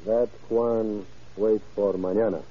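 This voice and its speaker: An actor playing a grave, resolute Mexican army general in a 1930s radio drama. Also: male voice, lowish voice, steady tone, takes it slowly. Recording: dull, lo-fi old radio recording.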